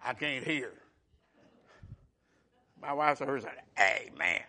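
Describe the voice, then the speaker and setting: A man's voice: mid-range voice, lively intonation, slow, sharp tone. A man preaching a sermon, retelling a scripture story in everyday words and calling out for amen. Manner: calls for amen, dialect grammar, voices the scripture figures.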